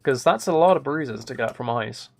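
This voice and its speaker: A soft-spoken man chatting casually on a gaming livestream, thinking aloud in a musing, tentative way as he builds. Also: mid-range voice, lively intonation, moderate pace, creaky, slight background noise. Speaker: livestream host.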